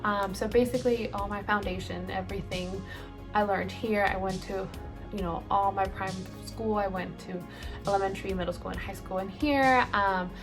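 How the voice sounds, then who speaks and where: A woman in her late twenties, from El Salvador, chatting casually through a get-ready-with-me Q&A, rambling with lots of ums and likes. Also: medium pitch. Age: late 20s. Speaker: beauty vlogger.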